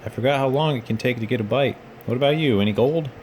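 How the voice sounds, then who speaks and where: A man's voice: mid-range voice, fast talker, a little mellow, a little hiss or hum, natural voice. A man voicing a friendly, easygoing toy cowboy sheriff from a children's storybook, upbeat and plainspoken. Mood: wistful, friendly.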